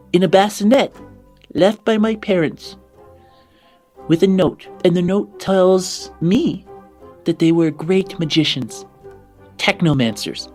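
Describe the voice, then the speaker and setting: High, eager little gnome. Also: male voice. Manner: excitable, flattering, halting.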